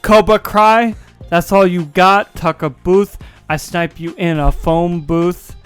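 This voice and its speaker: A man acts out a brash would-be president who raps and talks trash. He is crude and full of swagger, with a slightly high voice and a slow, drawn-out delivery. Music plays faintly behind him. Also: fairly even intonation, smooth. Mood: taunting. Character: the Driz, brash trash-talking male rapper running for president. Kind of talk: crude comic boasting and battle banter.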